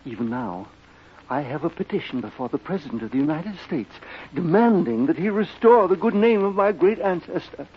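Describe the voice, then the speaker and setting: An actor playing a small, prissy, fanatical eccentric in a radio drama, fussy and formal, stammering with indignation. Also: male voice, fairly high pitch, lively intonation, a little fast, a little hiss or hum, lightly acted. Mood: indignant, fervent. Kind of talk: 1950s radio drama character acting. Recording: dull old radio recording.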